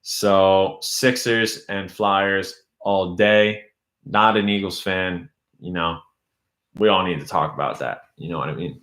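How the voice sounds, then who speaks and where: A young man hosts a casual live stream, rambling through viewer comments in a loose, friendly way. His voice sits a little low and sounds tired from hours of talking. The room around him is noticeably echoey. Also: fairly bright tone. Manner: loose, rambling, reads chat aloud. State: losing his voice. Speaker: YouTube host.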